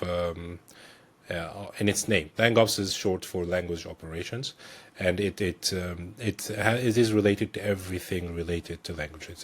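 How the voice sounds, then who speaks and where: A man with a low, slightly husky voice speaks as a podcast guest. He explains things thoughtfully and circles back with fillers and restarts as he works out his points. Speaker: guest, technical director. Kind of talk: conversational explanation.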